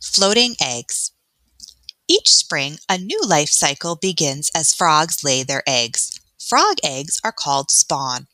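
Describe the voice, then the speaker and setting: A woman teacher reading an educational book aloud for children, adding explanations in a clear, expressive, instructional way. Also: low-pitched, slow, no echo. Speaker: elementary school teacher.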